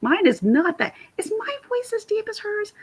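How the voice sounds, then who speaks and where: A woman with a raspy voice chatting casually to camera on her vlog, rambling and laughing often. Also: very high voice, very animated, moderate pace. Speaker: YouTube commentator.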